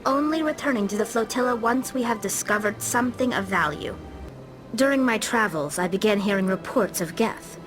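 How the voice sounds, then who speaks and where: An earnest young alien woman, voiced by an actress for a video game. She sits at a middle pitch and explains things like a resourceful traveller telling her story. Music plays clearly behind her. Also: expressive, normal speed, natural voice, low-quality recording. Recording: loud music behind dialogue.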